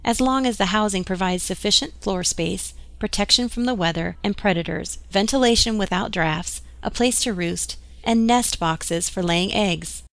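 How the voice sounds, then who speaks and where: A woman reading scripted instructional narration in an even, measured way. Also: mid-range voice, vocal fry, no room sound.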